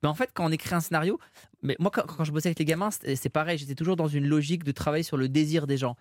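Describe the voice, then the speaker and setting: A man's voice: a little high, steady tone, very fast, no room sound, studio quality. A man, a comedian and filmmaker, telling anecdotes in a casual interview. He talks animatedly, with frequent restarts and acted-out quotes. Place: studio with glass screens.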